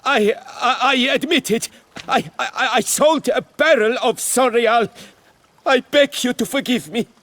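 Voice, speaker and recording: A man's voice: extremely high pitch, unhurried, fairly bright tone, full character voice. An actor playing a proud but servile nobleman winemaker in a video game, speaking formally and with deference. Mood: pleading, anguished. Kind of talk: dramatic video game acting.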